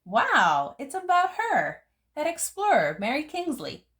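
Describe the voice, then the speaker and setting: A woman voicing an exuberant, mischievous second-grade child from a picture book, eager and excitable. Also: high voice, measured pace, pitched above the performer's own voice, exaggerated voice. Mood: amazed.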